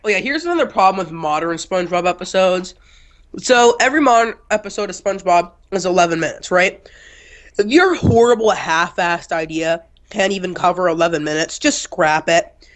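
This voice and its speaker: A man's voice: high-pitched, normal speed, a bit bright. A young man co-hosting a casual cartoon review, blunt and dismissive, sniffly from a cold. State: getting over a cold, sniffly. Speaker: co-host reviewer.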